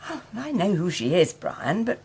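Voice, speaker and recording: A woman's voice: fairly low pitch, big pitch swings, normal speed, gravelly, a little mellow, slight background noise. A woman with an English accent playing a genteel, inquisitive country wife in a radio soap. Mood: concerned.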